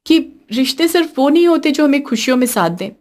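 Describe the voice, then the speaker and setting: A woman's voice: high voice, lively intonation, fast, darkish tone, voice pushed up. A woman voicing a caring, fretful young village daughter-in-law in a cartoon story, earnest and emphatic. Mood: earnest, pleading.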